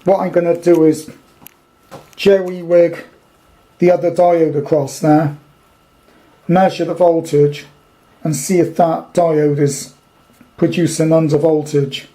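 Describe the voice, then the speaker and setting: A man's voice: on the high side, flat delivery, slow pace, pauses often, dark tone, low-quality recording. A middle-aged British man giving a casual, unscripted hands-on demonstration, hesitantly thinking aloud as he goes. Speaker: hobbyist radio restorer presenting a video. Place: indoors in a small domestic kitchen. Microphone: handheld camera's built-in microphone.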